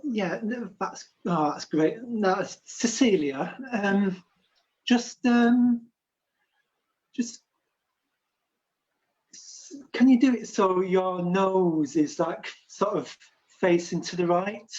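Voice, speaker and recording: A man doing improvised comedy, talking in a calm, hesitant way full of fillers. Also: sits high, slow, pauses often. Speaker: improv performer. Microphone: video call. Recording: dull lo-fi video call audio.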